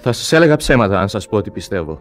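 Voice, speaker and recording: An actor playing a melancholy young suitor in an old radio play, subdued and hesitant. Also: male voice, on the low side, big pitch swings, soft background music. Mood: melancholy, guarded. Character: sad, reticent young suitor hiding a secret. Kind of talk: old Greek radio theatre acting.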